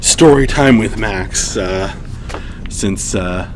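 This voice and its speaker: A man's voice: lowish voice, slow, a little hiss or hum. A man hosting a live story reading, narrating and chatting casually with hesitant, self-deprecating asides. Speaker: storytime host and reader.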